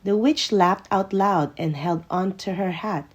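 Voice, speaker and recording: A woman reading a rhyming children's storybook aloud with expressive narration. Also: medium pitch, unhurried. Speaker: storytime reader.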